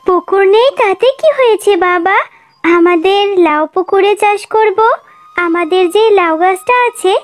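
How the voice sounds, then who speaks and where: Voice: extremely high pitch, unhurried. A performer voicing a young fox girl in a children's cartoon, childlike and plaintive, a sulky but sweet daughter. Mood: eager, sweet. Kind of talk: Bengali children's cartoon dialogue.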